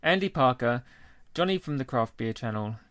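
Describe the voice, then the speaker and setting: A man co-hosts a casual podcast in a mid-pitched voice, reading off lists briskly and plainly. The sound is close and dry. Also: animated, a bit bright, no echo. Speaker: podcast co-host. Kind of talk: casual chat.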